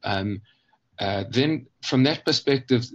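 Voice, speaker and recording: A man explaining his ideas conversationally as an interview guest, thoughtful and halting, often pausing mid-phrase to rephrase. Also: medium pitch, lo-fi sound. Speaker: guest, children's book author.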